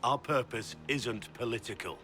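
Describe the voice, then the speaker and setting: An actor playing a stoic middle-aged warrior in a fantasy game, speaking in a terse, grave, earnest manner. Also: male voice, medium pitch, moderate pace, bright, forceful tone, close to an everyday voice. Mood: firm, resolute. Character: gruff middle-aged warrior, stoic recruiter of an ancient order. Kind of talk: dramatic fantasy game acting. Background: background music.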